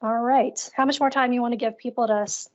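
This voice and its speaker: High-pitched woman, upbeat, on a dull call recording. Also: steady tone, fast talker. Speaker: community call co-host and demo presenter. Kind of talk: casual live screen-share presentation. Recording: dull, lo-fi online call audio.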